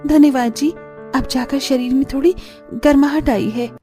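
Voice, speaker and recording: Woman doing a sweet young wife for a cartoon story, earnest and gentle, high soft voice. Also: fairly even intonation, normal speed, soft background music, close to an everyday voice. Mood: grateful. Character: gentle, devoted young bride from the forest. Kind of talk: Hindi cartoon story dialogue.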